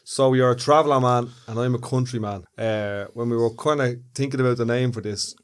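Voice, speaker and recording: A man's voice: medium pitch, steady tone, a little fast, smooth. A man co-hosting a casual podcast chat in a room, curious and prompting his partner with questions. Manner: curious, asks questions and prompts the other speaker. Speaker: podcast co-host. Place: room, indoors.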